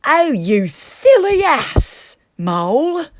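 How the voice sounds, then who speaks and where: A woman voicing a boastful, excitable, melodramatic male toad from a children's storybook, in an exclaiming manner. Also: high-pitched, extremely slow, dry recording, studio quality, voice pushed up, heavily acted. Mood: indignant. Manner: dramatic, exclaiming delivery. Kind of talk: children's storybook character reading.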